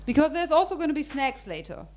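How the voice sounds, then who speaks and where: Woman running a panel, pretty high voice, loose chatty moderating, lots of um and restarts, quick and playful. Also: big pitch swings. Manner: chatty, hesitant, many fillers and restarts. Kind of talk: informal event moderating.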